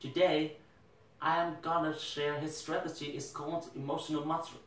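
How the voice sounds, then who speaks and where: A young man with a Vietnamese accent speaks in an earnest, deliberate way, as if delivering a prepared first speech. His voice sits a little high for a man. The sound is echoey and a bit distant, with a faint hiss behind it. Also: little pitch movement, a bit bright. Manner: earnest, deliberate, occasional restarts. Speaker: English teacher. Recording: echoey room, mic at a distance.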